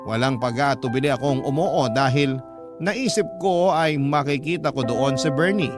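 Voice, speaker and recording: A man's voice: medium pitch, normal speed. A man narrating a listener's love story as a radio host, in a dramatic scripted reading style. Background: loud background music.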